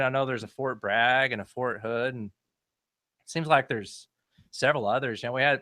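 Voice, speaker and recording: A talkative man from Western Oklahoma presses his point in a podcast debate. His voice is bright and forceful at a middling pitch. The sound is dry, with no room echo. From Western Oklahoma, United States. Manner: talkative, argumentative. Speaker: podcast co-host, history channel creator.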